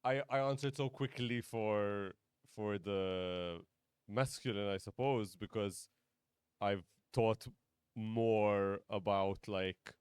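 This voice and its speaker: Deep-voiced comedian, a guest on a podcast who hesitates, restarts and laughs easily. His tone is smooth and resonant. The sound is close and dry. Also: slow, a little mellow, no echo. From Malta. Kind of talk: casual podcast conversation.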